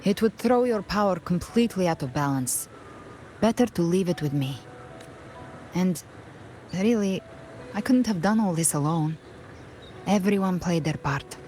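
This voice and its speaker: Low-voiced, calm young adventurer woman. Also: measured pace, pauses often, slight background noise. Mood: modest, reassuring. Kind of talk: video game voice acting.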